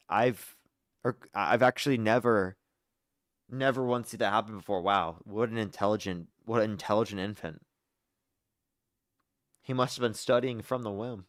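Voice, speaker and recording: A young man hosting a live call-in debate stream, briskly screening callers with sarcastic asides. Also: fairly low pitch, dry recording, studio quality. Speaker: livestream debate host.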